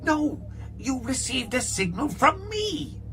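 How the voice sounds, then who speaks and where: A man voicing a scheming, greedy male alien barkeeper with a high, wheedling voice in an audiobook, music behind him. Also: very animated, slow, sharp tone, full character voice. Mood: pleading. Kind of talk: audiobook character voice.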